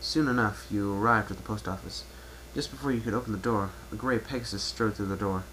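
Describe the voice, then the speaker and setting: Casting: male amateur storyteller, lowish voice, scripted narration, faintly noisy home recording. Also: fairly quick, a bit bright. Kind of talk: dramatic reading of fan fiction, second-person narration.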